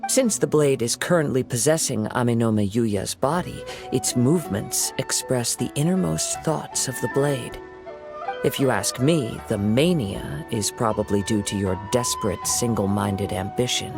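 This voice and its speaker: An actor playing a calm, perceptive young wandering samurai in a video game, speaking in a measured, earnest way. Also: male voice, mid-range voice, gravelly, music in the background. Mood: analytical, calm. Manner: measured, earnest, gently probing. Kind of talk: video game character acting.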